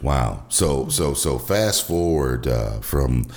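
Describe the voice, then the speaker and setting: A man co-hosting a talk show, leading an interview in a halting way with many restarts. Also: extremely low pitch, expressive, a little mellow. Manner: halting, full of fillers and restarts. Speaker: co-host.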